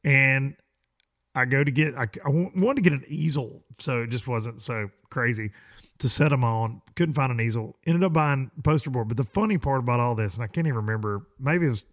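A hoarse man hosting a podcast, rambling in a folksy, self-deprecating way. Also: mid-range voice, a little fast, a bit bright. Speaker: podcast host. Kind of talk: casual rambling monologue.

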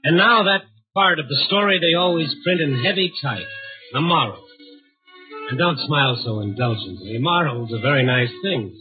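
An actor playing a world-weary, wry newspaper reporter who narrates an old radio drama in hard-boiled style. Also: male voice, mid-range voice, lively intonation, normal speed, lightly acted. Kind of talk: 1950s radio drama first-person narration. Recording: tinny old radio recording, faint music.

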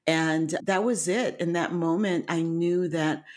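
Slow, low-voiced woman telling her story. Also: steady tone, darkish tone. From Haiti and Chicago. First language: French. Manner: reflective storytelling with frequent fillers. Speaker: guest, wine educator. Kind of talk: interview.

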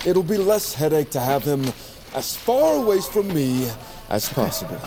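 A man's voice: a little high, sing-song, moderate pace, music in the background. An actor playing an irritable, contemptuous mine foreman who barks at workers in a fantasy audio drama. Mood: grudging, scornful. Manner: barking, contemptuous.

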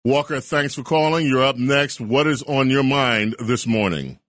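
A man's voice: medium pitch, moderate pace, a bit bright, no room sound. A man hosting a call-in talk radio show, talking eagerly and wandering off on tangents. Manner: enthusiastic, rambling tangents. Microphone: studio microphone.

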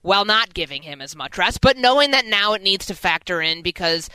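A woman's voice: medium pitch, lively intonation, a little fast, bright, forceful tone, clean tone, no echo, poor audio. An American woman hosting a sports talk radio show, giving commentary.

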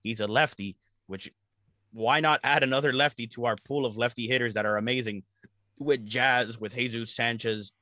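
A man hosting a casual sports podcast, talking in a rambling, animated way and often repeating his words. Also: mid-range voice, normal speed, dry recording. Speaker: podcast host.

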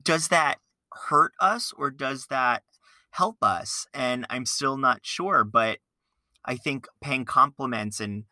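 A man's voice: mid-range voice, slow pace, bright, forceful tone, dry recording. A young adult man hosting a podcast, reflective and hesitant, with many fillers. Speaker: podcast host. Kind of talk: casual interview chat.

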